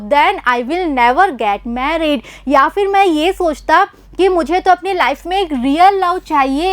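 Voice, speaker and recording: A young woman teaches an English play, her voice high and her overall pace unhurried. She reads each line slowly and then explains it quickly, switching between Hindi and English. The sound is dry and close, with no room around it. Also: dry recording.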